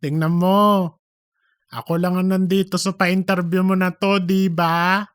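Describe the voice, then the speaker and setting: A man's voice: sits high, little pitch movement, a little slow, clean tone, no room sound, clean studio sound. A man playing a gossipy, cheeky office worker in a comedic audiobook, speaking in a campy, dramatic, slangy way. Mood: boastful. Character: gossipy, cheeky, self-promoting office worker named Cheese.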